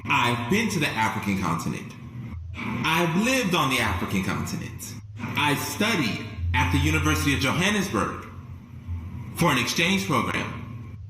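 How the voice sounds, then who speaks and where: A man from the United States giving steady, explanatory commentary to camera. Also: mid-range voice, moderate pace, pauses often. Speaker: commentator in a clip. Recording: roomy echo with faint hiss.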